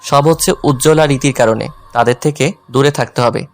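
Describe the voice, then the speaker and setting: A man's voice: medium pitch, a little fast, soft background music, lightly acted. A man playing a jealous, teasing young husband in a dramatized romantic audio story. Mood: coaxing, romantic. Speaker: narrator and male lead of an audio love story.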